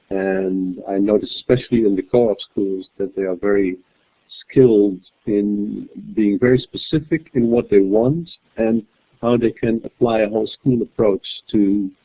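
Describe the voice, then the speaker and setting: A man speaking as a webinar panelist in a measured way, often restarting his sentences. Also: lowish voice. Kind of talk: webinar discussion. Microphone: computer mic on a web call. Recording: tinny phone-like web call audio.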